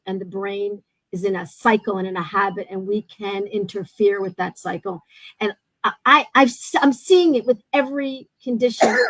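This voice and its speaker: A woman's voice: mid-range voice, no echo, poor audio. A woman hosting a video call chat, speaking earnestly and emphatically, often restarting her sentences. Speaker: host. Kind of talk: casual chat.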